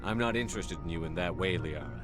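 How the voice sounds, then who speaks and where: An actor playing a composed, dutiful military commander in a video game, direct and matter-of-fact. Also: male voice, deep voice, quick pace, loud music behind the voice, natural voice. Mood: frank, awkward. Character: composed, dutiful male military starship commander, firm and diplomatic.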